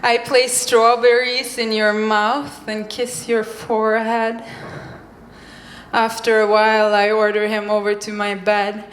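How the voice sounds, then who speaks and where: A young woman from Norway, performing spoken-word poetry on a mic at a live venue, with slow, deliberate pauses. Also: a little high, a little hiss or hum. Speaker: poet. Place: live event venue, indoors. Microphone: stage microphone. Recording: echoey room, faint ambience.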